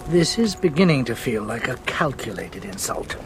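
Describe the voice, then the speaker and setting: A man's voice: mid-range voice, very animated, moderate pace, noisy background. A man playing a hesitant, curious, scholarly Roman historian with a wry manner in a historical radio drama. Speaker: actor.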